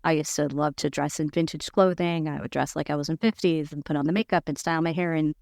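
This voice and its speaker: A woman in her forties with a squeaky voice, chatting casually as a podcast guest and leaping up in pitch when quoting herself. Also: steady tone, fast, vocal fry, darkish tone, dry recording, clean studio sound. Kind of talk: casual chat.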